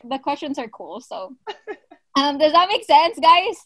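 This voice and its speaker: A bubbly woman in her twenties, from the Philippines and raised in Canada, hosts a casual chat over a home video call. Her voice is high and light, and the sound is close and dry with no room to it. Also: animated, measured pace, no echo, poor audio. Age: 20s. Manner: bubbly, giggly, hosting. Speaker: channel co-host, question asker. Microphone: video call webcam.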